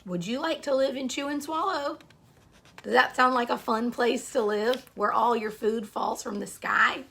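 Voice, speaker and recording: A woman reading a children's picture book aloud, pausing between short phrases and speaking directly to young listeners. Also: a little high, creaky, sharp tone.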